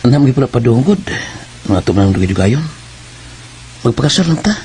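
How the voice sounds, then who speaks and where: A man's voice: mid-range voice, sing-song, a little fast, warm tone, close to an everyday voice, low-quality recording. Young Bisaya male lover, mid-range voice, earnest and possessive radio-drama part, played by a man, slight background noise. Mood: earnest, pleading. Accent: Bisaya Filipino. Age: young adult.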